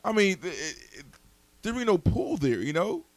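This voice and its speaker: A man co-hosting a casual debate, arguing in slang with halting, stammered repetitions. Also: high voice, huge pitch swings, dry recording. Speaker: podcast co-host. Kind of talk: casual debate, movie chat.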